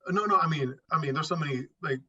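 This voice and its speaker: Male interview guest, middle-aged, somewhat high and husky, emphatic and conversational, on a slightly dull recording. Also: quick pace, bright, forceful tone. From Puerto Rico and New York City. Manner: emphatic, repeats phrases for stress.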